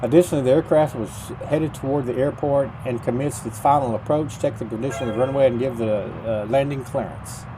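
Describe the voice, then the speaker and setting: A middle-aged man gives casual gameplay commentary, sometimes reading instructions out loud. His voice sits at an ordinary pitch. There is clear background noise on the recording. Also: fairly even intonation, a little fast, hardly any pauses. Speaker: gaming video host. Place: indoors at a computer, webcam.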